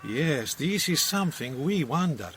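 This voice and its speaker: A shrewd, courteous Italian police captain in a radio drama. He speaks formal, imperfect English with an Italian accent and lively, rising and falling pitch, against clear ambient noise. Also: measured pace. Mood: grave.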